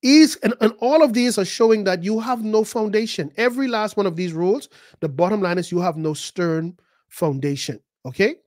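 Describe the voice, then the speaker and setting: A man preaching a sermon, his fairly high voice leaping higher and louder when he drives a point home. He repeats words as he builds and acts out the voices of the people he describes. The sound is dry and close. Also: normal speed, dry recording. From the Bahamas. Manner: emphatic, rising to high pitch and volume at key points. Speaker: pastor.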